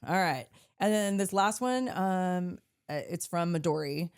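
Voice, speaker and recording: Lady podcast cohost, deeper voice, chatty, laughs a lot, cusses for fun. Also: a little slow, clean tone. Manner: chatty, laughs often, swears playfully; reads out listener messages. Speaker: podcast co-host. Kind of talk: casual chat.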